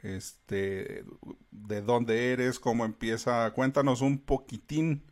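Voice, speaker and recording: A man's voice: medium pitch, steady tone, slow, a little mellow. A man hosting a podcast interview, asking loose, friendly questions full of fillers. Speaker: podcast host.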